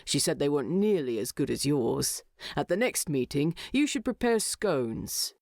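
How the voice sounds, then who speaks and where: A woman voicing a teasing, sarcastic dwarf king, loud and mock-innocent, in an audiobook of a fantasy story. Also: deep voice, big pitch swings, normal speed, dry recording, studio quality, exaggerated voice. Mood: mock cheerful. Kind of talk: audiobook character voice.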